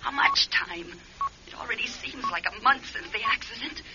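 A woman's voice: a little high, expressive, normal speed, natural voice. A woman playing a conscious but trapped patient in a radio drama, desperate, sardonic and intense. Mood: bitter, frantic. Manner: intense, sardonic inner monologue. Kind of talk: classic radio drama acting. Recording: muffled, noisy old radio recording.

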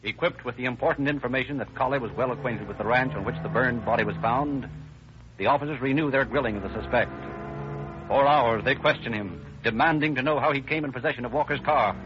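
A man's voice: mid-range voice, fast, natural voice. An actor as the narrator of an old-time radio crime drama, formal and urgent in his scripted delivery. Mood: grave, urgent. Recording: dull vintage radio recording. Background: dramatic music.

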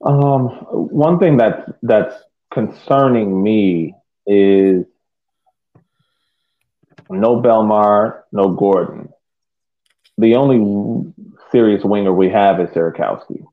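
A man co-hosting a soccer podcast, giving casual analysis in a halting, deliberate way. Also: lowish voice. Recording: tinny phone-like call audio.

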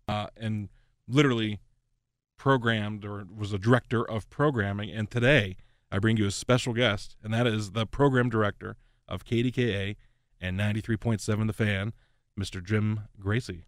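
A man hosting a radio interview in an enthusiastic, chatty way, laughing often and reacting quickly. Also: lowish voice, no echo, clean studio sound. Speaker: radio show host. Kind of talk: casual interview.

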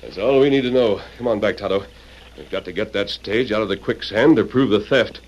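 A man's voice: lowish voice, animated, brisk, muffled recording. Earnest heroic masked cowboy lawman. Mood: urgent, commanding. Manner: earnest, commanding. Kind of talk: old-time radio western acting. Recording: noisy old radio recording.

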